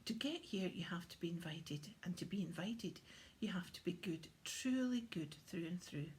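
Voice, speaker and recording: A woman's voice: on the low side, expressive, normal speed, close to an everyday voice. A woman voicing a jolly, proud old Santa who explains things merrily in a children's storybook. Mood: explaining. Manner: merry, explanatory. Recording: faint background noise.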